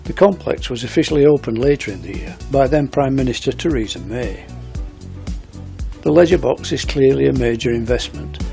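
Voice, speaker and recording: Male news narrator, scripted read, warm dark tone with vocal fry. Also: mid-range voice, animated, normal speed, faint music behind. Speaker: newsreel narrator.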